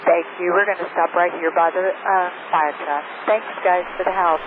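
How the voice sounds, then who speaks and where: Airline captain, woman, radio communication, narrow-band with clear noise behind. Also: fairly low pitch, steady tone, moderate pace. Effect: aviation radio. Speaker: airline pilot. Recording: noisy, tinny cockpit radio transmission.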